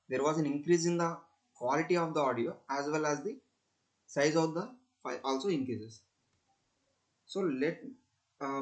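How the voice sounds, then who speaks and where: Young Indian-accented man giving a halting demonstration. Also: medium pitch. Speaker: student presenter. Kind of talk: screen-recorded technical demonstration.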